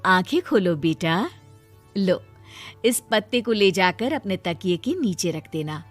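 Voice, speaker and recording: A woman's voice: on the low side, lively intonation, a little slow, faint music behind. A woman voicing a kind little fairy who lives in a tree, soothing and motherly.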